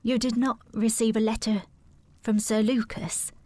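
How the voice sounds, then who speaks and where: An actress playing a polite, hesitant housekeeper of a great house in a period audio drama. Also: female voice, fairly high pitch, little pitch movement, measured pace, warm tone. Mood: grave, reluctant. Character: formal, deferential housekeeper of a moorland house.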